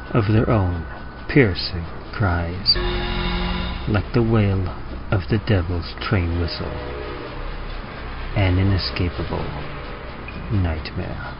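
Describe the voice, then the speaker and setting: Male horror narrator, low pitch, deliberate dramatic pauses, slightly dull muffled audio. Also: over loud music. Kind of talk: dramatic scripted horror reading. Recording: muffled audio with clear background noise.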